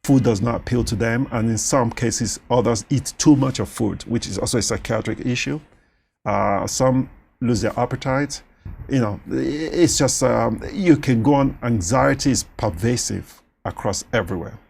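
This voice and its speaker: A man with a Nigerian accent whose first language is Yoruba, talking as a doctor in a studio interview, animated and quick to laugh. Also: mid-range voice, gravelly, slightly rough. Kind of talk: conversational interview.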